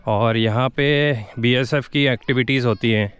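A man's voice: mid-range voice, steady tone, moderate pace, a bit bright. A man, giving chatty travel vlog commentary into a handheld camera, in a car and outdoors. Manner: chatty tour-guide commentary, mixing English words into Hindi. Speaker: travel vlogger. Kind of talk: casual travel vlog narration. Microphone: handheld camera at arm's length. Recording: outdoor camera audio with clear vehicle and wind noise.

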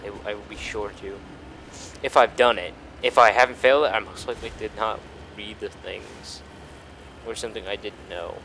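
A man's voice: fairly low pitch, fairly even intonation, moderate pace, creaky, fairly bright tone, a little hiss or hum, low-quality recording. A young man giving casual, crude and exclamatory commentary while playing a video game. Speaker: gaming video host. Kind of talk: casual let's play commentary.

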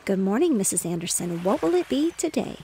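A woman voicing a small boy playing shopkeeper, earnest and bossy, in a soft whispery storybook reading. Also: medium pitch, big pitch swings, fairly quick, a little hiss or hum.

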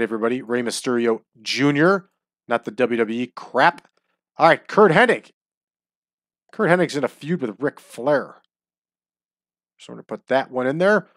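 A man's voice: mid-range voice, no echo, studio quality. A man commenting casually on a wrestling management game at home, chatty and opinionated, his nose stuffed up from allergies. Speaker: let's-play host.

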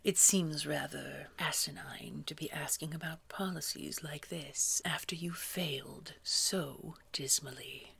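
A woman acting as a scary, condescending boss in an audio roleplay, speaking formally and precisely in a breathy voice. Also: low voice, fairly even intonation, unhurried, few pauses, fairly bright tone, full character voice. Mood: icy, scolding. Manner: cold, precise, scolding, formal wording. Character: scary, condescending female boss at a secretive organization. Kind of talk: scripted audio roleplay drama.

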